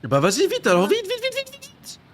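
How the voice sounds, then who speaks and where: A young man doing excited, shouty, slangy live reaction commentary as an anime streamer. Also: very high-pitched, fairly quick, very noisy. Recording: show's music and dialogue behind.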